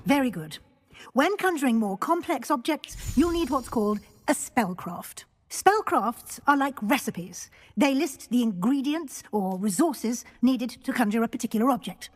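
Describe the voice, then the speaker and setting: High-voiced, lively witch professor. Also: moderate pace. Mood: instructive, approving. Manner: instructive, precise, approving. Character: brisk, encouraging female witch professor. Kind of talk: video game voice acting. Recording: reverberant hall.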